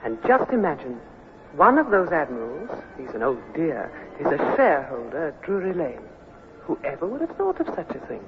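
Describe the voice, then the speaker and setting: Worldly stage lady, low-voiced, noisy old radio. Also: wildly swooping pitch, moderate pace, exaggerated voice. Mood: warm, playful. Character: worldly, warm-hearted British actress, flirtatious and confiding. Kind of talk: old-time radio drama acting. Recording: dull old radio recording, clear background noise.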